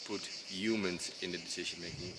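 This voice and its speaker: Dutch man, deep low voice, casual hesitant explainer, clear crickets and insects in the background. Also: fairly even intonation, brisk, very noisy. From Netherlands. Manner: hesitant, with many fillers and restarts. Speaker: designer explaining his approach. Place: outdoors in rural mountains.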